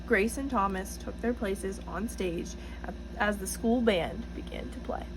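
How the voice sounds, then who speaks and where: A woman reading a picture book aloud to children in an encouraging, teacherly way, pausing to address them directly with questions. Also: mid-range voice, background noise. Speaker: storybook reader for children, student teacher.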